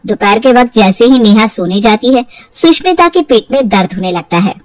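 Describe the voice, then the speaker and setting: A woman's voice: fairly high pitch, lively intonation, moderate pace, dry recording, far from the mic. Woman storyteller with very dry sound. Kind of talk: scripted story narration.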